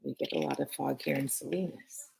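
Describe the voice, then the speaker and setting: A woman's voice: a bass voice, very animated, gravelly, a little mellow, breathy edge, rough recording. A woman teacher reading a picture book aloud to children expressively, with short phrases and casual asides. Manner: addresses young listeners, pausing between short phrases.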